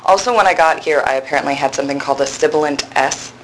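A young woman in her twenties with a low, resonant voice, a drama graduate student answering interview questions thoughtfully, with frequent pauses and restarts. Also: a bit bright, a little hiss or hum, low-quality recording. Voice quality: low voice with strong body resonance. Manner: thoughtful, hesitant, many fillers and restarts. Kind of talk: reflective conversational interview.

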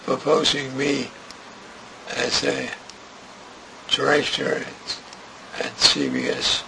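An older man recalling his radio career in an interview, speaking haltingly and restarting often. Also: medium pitch, big pitch swings, vocal fry. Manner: slow, halting, with many restarts. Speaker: interviewee, radio writer and director. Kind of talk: reminiscing interview. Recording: noisy recording.

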